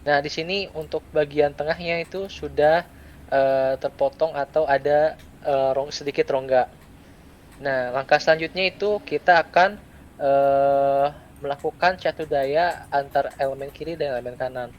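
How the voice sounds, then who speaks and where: A young man walks through software steps as a student presenter. His voice sits slightly high for a man, and he proceeds haltingly, phrase by phrase. There is faint but noticeable noise in the recording. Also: highs cut off. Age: young adult. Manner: halting, pausing between short phrases. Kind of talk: step-by-step screen tutorial, unscripted. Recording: clear background noise.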